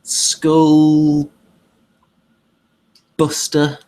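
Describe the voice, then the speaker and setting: A man's voice: a little high, monotone, extremely slow, dark tone. A man co-hosting a hobby show in casual conversational chat.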